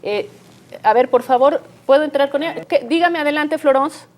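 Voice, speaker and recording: An actress playing a probing television news host who talks in conversational, broken phrases in a live interview. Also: female voice, high voice, moderate pace, close to an everyday voice. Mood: surprised, hesitant. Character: probing female television news host, composed but pressing.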